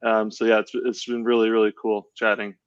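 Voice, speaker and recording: A man's voice: fairly low pitch, level tone, brisk, clean tone, no room sound. Man, dull lo-fi call audio. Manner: enthusiastic, rambling with many fillers and restarts. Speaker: podcast co-host. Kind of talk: casual podcast chat.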